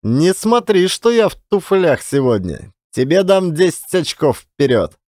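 A man's voice: a little high, very animated, measured pace, darkish tone, no room sound, studio quality, voice pushed up. Man playing a cocky young billiard braggart. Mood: boastful. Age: young adult. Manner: boastful, taunting.

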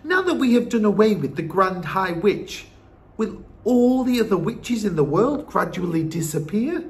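Man playing young mouse-boy, storybook character, high pitch, very animated, anxious, eager, emphatic. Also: moderate pace. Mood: eager questioning. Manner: emphatic, exclaiming and questioning.

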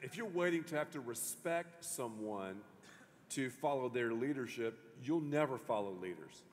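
A middle-aged man, a pastor, interviewing a guest on a church stage in an animated way, with many restarts. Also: medium pitch, normal speed, slight background noise. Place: large church stage before an audience. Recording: long hall reverb.

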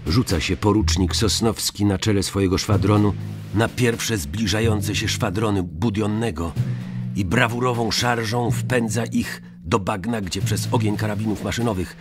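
An actor playing a stern, proud cavalry colonel in an audiobook drama, with a formal, soldierly manner. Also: male voice, lowish voice, normal speed, over music, lightly acted. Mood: solemn.

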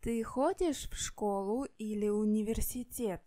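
A native Russian-speaking woman teacher reading clear practice questions to learners. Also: medium pitch, unhurried, warm tone. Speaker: language teacher. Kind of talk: scripted lesson questions.

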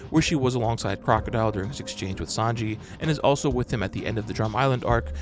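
A man narrating a scripted breakdown as a host, in a measured, explanatory manner. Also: on the low side. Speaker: host of a fan breakdown channel. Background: music.

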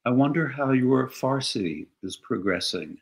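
An older man hosting an interview over a video call from his living room, speaking in a courteous, measured way. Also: medium pitch, expressive. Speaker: interviewer, host. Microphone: video call webcam.

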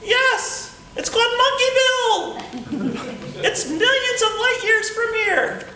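A man's voice: very high voice, takes it slowly, a crowd in the background, pitched above the performer's own voice. A man voicing a snappy, bossy talking monkey from outer space in a tall tale, exclaiming impatient demands. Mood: excited, assertive. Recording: distant camera in echoey, noisy room.

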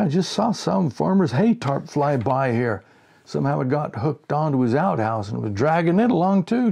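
A man's voice: a little high, vocal fry, dark tone, voice pushed up. A man reading a storybook, voicing a baffled, scolding old country fisherman with a rural American accent. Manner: folksy, exclamatory, scolding. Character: gruff, folksy country ice fishermen, baffled old-timers.

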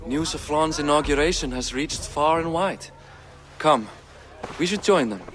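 A man playing a worldly king in a video game, explaining things in a measured, wry manner. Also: on the high side, fairly bright tone, soft background music. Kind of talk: video game cutscene acting.

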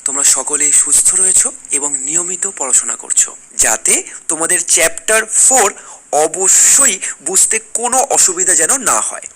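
A man's voice: a little high, lively intonation, normal speed, pushed and bright, low-quality recording. A man with a Bengali accent from West Bengal teaching an exam lesson to school students, repeating phrases and asking check questions. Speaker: history teacher, online exam coach.

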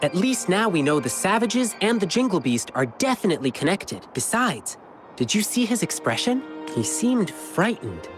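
A woman playing a youthful, confident adventurer in a video game, earnest and expressive. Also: lowish voice, moderate pace. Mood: alert, curious. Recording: game music underneath.

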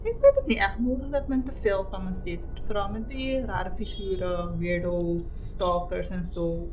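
A woman's voice: mid-range voice, animated, moderate pace, a little mellow, a little hiss or hum, lightly acted, poor audio. A woman playing a polite young woman hosting a date, in naturalistic film dialogue. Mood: friendly, guarded. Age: young adult. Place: dim living room indoors.

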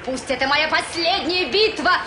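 A woman voicing a fierce, protective mother wolf in an animated film, impassioned and defiant, echoing over loud music. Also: high-pitched, normal speed, natural voice. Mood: fierce defiance. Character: fierce mother wolf defending her adopted cub. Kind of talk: Soviet animated film acting.

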